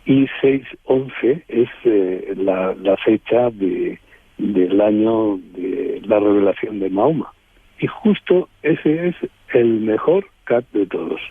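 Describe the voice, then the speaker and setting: A man telling travel anecdotes as an interview guest, halting and digressive, often adding tag questions. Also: fairly low pitch, vocal fry, slightly rough, dry recording. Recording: muffled, phone-like narrowband line.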